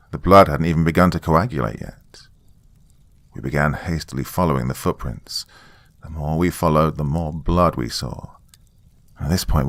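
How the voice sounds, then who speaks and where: Deep-voiced man narrating horror, very dry. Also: no echo. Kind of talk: dramatic scripted first-person horror narration.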